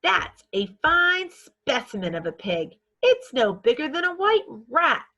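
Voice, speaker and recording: Woman doing a brash, high-pitched ten-year-old boy. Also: very animated, unhurried, bright, forceful tone, dull audio, full character voice. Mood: scornful. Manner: demanding, blurting.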